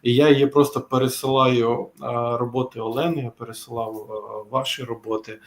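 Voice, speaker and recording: A middle-aged or older man, a guest author, chatting casually in a halting manner. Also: medium pitch, steady tone. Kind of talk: casual storytelling.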